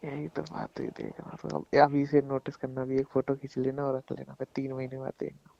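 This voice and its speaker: Young guy on a private call, mellow creaky voice, teasing, kinda muffled lo-fi audio. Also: medium pitch, monotone, a little fast. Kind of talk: casual private phone chat. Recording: dull lo-fi phone call recording.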